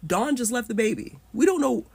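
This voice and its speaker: A woman's voice: fairly high pitch, lively intonation, a little fast, vocal fry, darkish tone, husky. A woman in her thirties telling a personal story candidly, swearing freely. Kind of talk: personal story told to camera.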